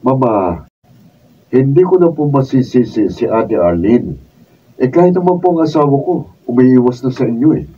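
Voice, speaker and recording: A man's voice: mid-range voice, expressive, normal speed, slightly rough. A Filipino man playing a blunt, rebellious grown son in a radio drama, frank and reproachful. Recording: distant mic, dull sound.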